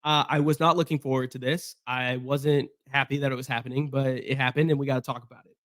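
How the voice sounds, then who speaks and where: A man with a hoarse voice from being sick, chatting casually through a solo football roster breakdown with fillers and restarts. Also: mid-range voice, little pitch movement, fast, a bit bright, dry recording, clean studio sound. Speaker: sports podcast host.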